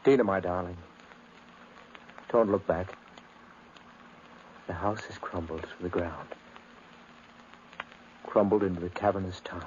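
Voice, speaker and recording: Steady, reasoning gentleman sweetheart, lowish voice, reassuring radio-drama delivery on a muffled old recording. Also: background noise. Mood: solemn, tender. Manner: calm, reassuring, measured. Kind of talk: 1950s radio acting.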